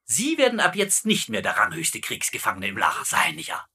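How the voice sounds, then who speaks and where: An actor playing a vain, gullible, middle-aged German camp commandant with a pompous manner in a sitcom dub. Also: male voice, lowish voice, wildly swooping pitch, fairly quick, pushed and bright, full character voice. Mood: smug, gloating. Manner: pompous, repeating tag questions. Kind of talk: dubbed sitcom acting.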